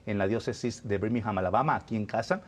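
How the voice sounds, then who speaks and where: A man's voice: fairly low pitch, fast talker. A man presenting a religious program in a conversational way, addressing his guests and viewers directly.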